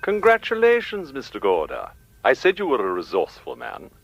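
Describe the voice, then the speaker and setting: A crime boss in a radio thriller, all formal courtesy and cool menace. His phrases swing with a lively pitch, in a dull, dry recording. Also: creaky, dry recording, full character voice. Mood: smug, courteous. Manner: polite, formal, measured menace.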